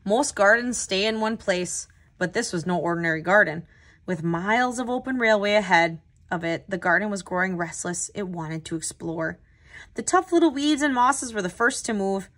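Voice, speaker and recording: A woman with a mid-pitched voice reads a storybook aloud for children. She phrases clearly and tells it expressively, in the manner of a tutor hosting story time. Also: lively intonation, moderate pace, a bit bright.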